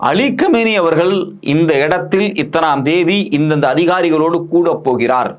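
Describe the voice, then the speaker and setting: A man with an Indian Tamil accent giving news analysis, explaining emphatically with repeated phrases and rhetorical questions. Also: sits high. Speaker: political commentator.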